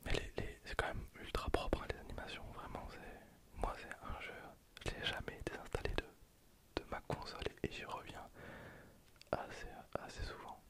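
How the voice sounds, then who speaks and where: A person whispering casual, slangy ASMR commentary over a video game, in breathy, hesitant phrases that trail off. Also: normal speed. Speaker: host of an ASMR gameplay channel.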